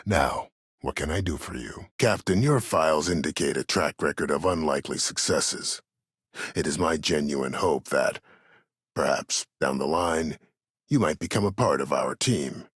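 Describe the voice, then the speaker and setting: Imperious, calculating older male commander for a video game: extremely deep, rough-edged, coldly measured and formal. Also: lively intonation, a bit bright, dry recording, studio quality, exaggerated voice. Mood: composed, persuasive. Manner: measured, formal, deliberate, menacing. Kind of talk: video game character dialogue.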